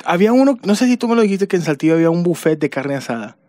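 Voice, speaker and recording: A man, trading quick teasing banter as a radio podcast co-host. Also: high voice, big pitch swings, mellow, no echo. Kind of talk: casual comedic chat. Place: radio studio. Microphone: studio mic.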